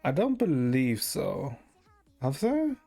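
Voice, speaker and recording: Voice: mid-range voice, wildly swooping pitch, slow, vocal fry, dark tone, soft background music. A streamer chatting casually in a hesitant, meandering way, often tagging sentences with "right?" and swearing now and then. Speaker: live streamer. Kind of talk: casual rambling stream chat.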